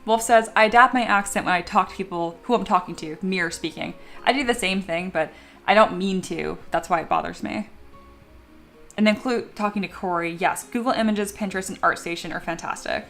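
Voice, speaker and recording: A woman's voice: mid-range voice, brisk, vocal fry, a bit bright, faint music behind. A young adult woman chatting casually on a live stream while she sculpts at home, reading viewer messages aloud and laughing often. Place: home studio.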